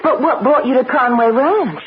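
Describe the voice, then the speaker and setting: A woman playing a gentle, polite young ranch visitor in an old-time radio drama. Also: sits high, lively intonation, normal speed. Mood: polite, warm. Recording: muffled, noisy old radio broadcast.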